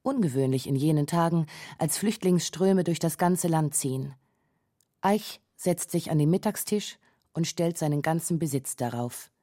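A woman's voice: low voice, moderate pace, mellow. A woman co-narrating a scripted radio documentary in a composed, factual manner.

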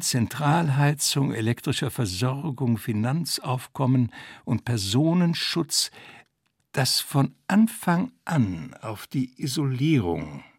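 A man narrating literary prose in a deliberate, emphatic way, breaking sentences into short phrases. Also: mid-range voice, creaky, breathy edge, no echo, clean studio sound.

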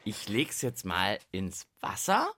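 A man co-hosting a children's radio show with playful banter, animated and thinking out loud as he goes. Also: fairly low pitch, takes it slowly, background noise.

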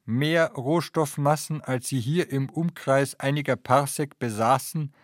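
A man's voice: medium pitch, measured pace, no room sound, clean studio sound, close to an everyday voice. A man narrating a science fiction radio play as a matter-of-fact chronicler of a space voyage.

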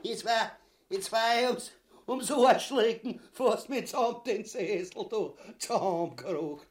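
Casting: greedy, coarse, cowardly Austrian tyrant, grotesque comedy, slow delivery, higher-pitched voice. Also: male voice. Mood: gleeful, greedy. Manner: coarse, blustering, swearing. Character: gluttonous, crude, cowardly grotesque usurper husband. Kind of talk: grotesque stage comedy acting.

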